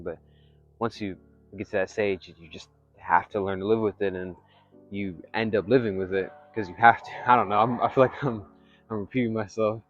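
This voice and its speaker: A young man with a congested, nasal voice talking candidly in a vlog, halting and rambling with many fillers and restarts. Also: on the low side, lo-fi sound. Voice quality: congested, nasal from blocked nose; soft, not loud. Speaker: vlogger. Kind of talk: candid personal vlog monologue.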